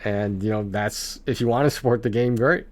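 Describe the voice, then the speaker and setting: Low-voiced man chatting casually on stream. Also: moderate pace, a little mellow, no room sound. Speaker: video game livestreamer. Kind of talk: casual livestream chat, talking to viewers.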